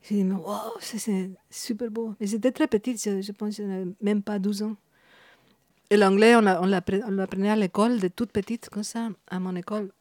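A woman in her fifties from Spain, speaking with a Spanish accent, reflective and halting, with many restarts. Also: mid-range voice, steady tone, darkish tone, dry recording, studio quality. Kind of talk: reflective interview talk.

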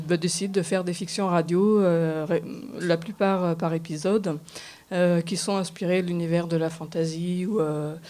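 A woman's voice: fairly low pitch, darkish tone. A woman hosting an unscripted round-table discussion, with hesitant, broken-up phrasing. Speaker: radio show presenter.

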